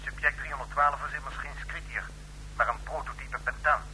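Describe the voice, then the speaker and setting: A cold laboratory chief medic in a radio play. His voice is very bright and sharp, his delivery formal, methodical and clinical, over faint noise. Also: mid-range voice, steady tone, a little fast, low-quality recording. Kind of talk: radio drama acting in Dutch.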